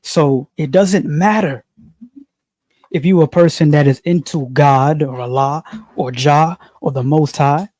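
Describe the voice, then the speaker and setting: Man, spiritual monologue; unhurried, repetitive, sermon-like; warm tone, dry room. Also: fairly high pitch, no echo. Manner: slow, preachy, repeats phrases, frequent "you see". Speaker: spiritual commentator. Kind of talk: unscripted monologue on philosophy.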